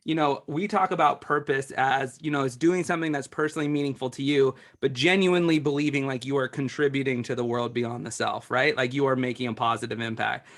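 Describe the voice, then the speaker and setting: A man's voice: medium pitch, steady tone, fairly quick, hardly any pauses, a bit bright. A male author guest in an interview, speaking earnestly and explaining ideas, often saying "like". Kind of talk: conversational interview.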